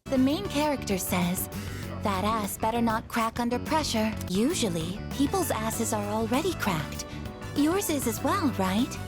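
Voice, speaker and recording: An earnest female android in a video game. Her voice is fairly high and moves up and down, yet stays utterly serious and deadpan. Music plays clearly behind her. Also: a little slow. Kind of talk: anime-style video game voice acting.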